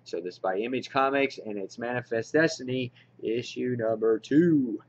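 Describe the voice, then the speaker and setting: A muffled, lo-fi recording of a man with a mid-pitched voice. He talks off the cuff as an enthusiastic fan, addressing his viewers directly in a casual review. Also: normal speed. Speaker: comic book reviewer, channel host. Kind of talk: casual unscripted haul review to camera. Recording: dull, lo-fi home recording.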